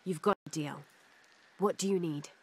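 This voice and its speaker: An actress with a British accent playing a calm, determined young adventurer in a video game. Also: female voice, on the low side, moderate pace, breathy edge, no echo. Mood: kind, coaxing. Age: young adult. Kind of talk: video game cutscene acting.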